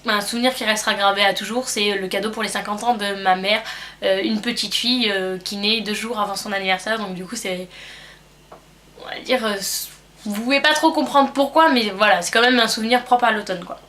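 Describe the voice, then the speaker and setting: A woman's voice: medium pitch, steady tone, a little fast, sharp tone, a little hiss or hum. A young French woman with a cold chatting at home as a beauty vlogger, rambling and hesitant with lots of fillers. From France. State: has a cold. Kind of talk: casual chat answering a tag.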